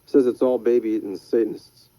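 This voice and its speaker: A man's voice: mid-range voice, steady tone, moderate pace, dark tone, no room sound, natural voice, rough recording. A man voicing a plain-spoken, wary man asking blunt questions.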